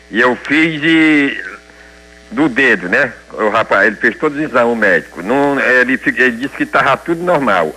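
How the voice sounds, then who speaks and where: Male caller, 70s, bright voice, hesitant phone-in. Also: medium pitch, rough recording. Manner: hesitant, halting. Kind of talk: phone-in question. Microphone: phone line.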